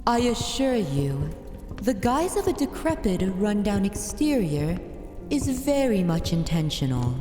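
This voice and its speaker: Woman voicing a haughty vampire lady, dominant, slow deliberate delivery, mellow tone, archaic fantasy ASMR roleplay. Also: medium pitch, very animated, soft background music. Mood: haughty, composed. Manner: imperious, archaic formal speech, deliberate and commanding. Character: haughty, dominant aristocratic vampire mistress of a manor.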